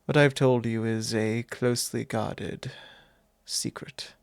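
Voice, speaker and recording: A courteous vampire lord, played by a man, confides softly in an ASMR roleplay. His voice has a gravelly creak and moves very slowly, in formal, hesitant phrases. It is solemn and tender, very close and dry on the microphone. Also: medium pitch, fairly even intonation, dry recording. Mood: apologetic, confiding. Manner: measured, formal, hesitant phrasing with soft breathy drops. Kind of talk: ASMR roleplay, soft intimate monologue.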